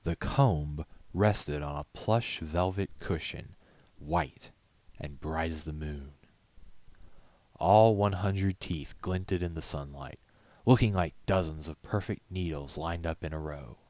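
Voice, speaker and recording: A man's voice: a bass voice, takes it slowly, a little mellow, no room sound. A man reading a story aloud as scripted storybook narration. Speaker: amateur story reader.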